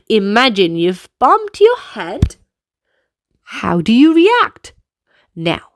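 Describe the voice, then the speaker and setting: A woman reading a children's storybook aloud in an animated, deliberate way, speaking directly to young listeners and guiding them to move along. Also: high voice, no room sound, studio quality. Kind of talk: expressive read-aloud narration with movement prompts for young children.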